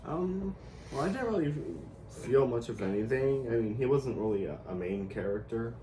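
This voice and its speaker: A young man as co-host in casual chat, giving brief, low-key answers. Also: animated, normal speed, mellow. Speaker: co-host brother. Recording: echoey room with noise.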